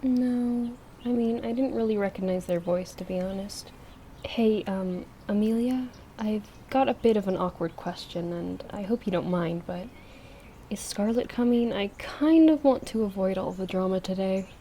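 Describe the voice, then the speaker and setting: A woman's voice: mid-range voice, moderate pace, mellow, slight background noise, lightly acted. A woman acting a hurt, hesitant young woman monster hunter in an audio drama. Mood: awkward.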